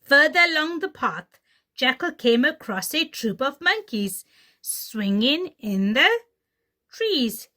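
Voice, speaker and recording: Slow, bright, high-voiced woman storyteller. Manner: animated, addressing young children. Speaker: pre-primary teacher, storyteller. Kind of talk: reading a children's story aloud.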